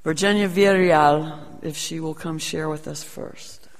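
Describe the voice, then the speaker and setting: A man's voice: on the high side, fairly even intonation, unhurried, a little hiss or hum. A man leading a church service, informally announcing speakers to the congregation over a microphone. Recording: reverberant hall.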